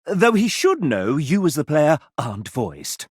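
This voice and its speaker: Sassy video game narrator, theatrical n arch, kinda high voice, pitch goes all over the place. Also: male voice, huge pitch swings, a little slow, husky, no echo, studio quality, full character voice. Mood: chatty, arch. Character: sassy, theatrical, chatty male guide to dates. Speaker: narrator and guide.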